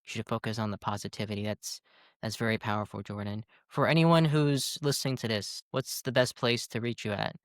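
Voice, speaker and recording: Smooth-voiced encouraging male host. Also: fairly low pitch, steady tone, brisk, dry recording, clean studio sound. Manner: encouraging, praising the guest. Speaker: podcast host. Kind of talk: interview questions.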